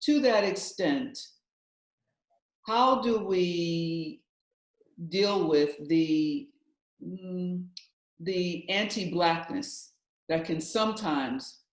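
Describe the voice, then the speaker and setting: A man moderating a panel discussion, posing questions haltingly with many repeated words. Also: high voice. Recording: echoey room.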